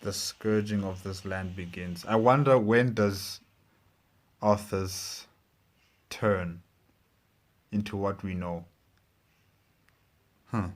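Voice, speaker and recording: A man's voice: sits low, slow, pauses often. A man hosting a reaction video, commenting casually and musing aloud in a tentative way.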